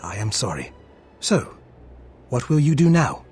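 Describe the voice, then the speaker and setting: An actor playing a courteous, caring warrior monk in a fantasy video game, with formal, expressive speech. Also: male voice, mid-range voice, measured pace. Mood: grave, sympathetic. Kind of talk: scripted game dialogue. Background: game music.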